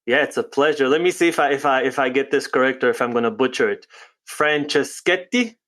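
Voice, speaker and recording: Host: easygoing man, mid-pitch, chatty and upbeat, asks friendly questions. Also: brisk, a bit bright. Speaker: podcast host. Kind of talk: casual podcast interview.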